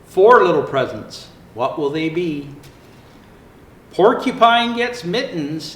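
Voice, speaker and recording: Man playing jolly, high-pitched Santa reading stories. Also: fairly bright tone, full character voice. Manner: theatrical, unhurried, jolly. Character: jolly old Santa Claus greeting children. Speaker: storyteller reading picture books aloud. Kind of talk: expressive children's storybook read-aloud. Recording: roomy echo, faint background noise.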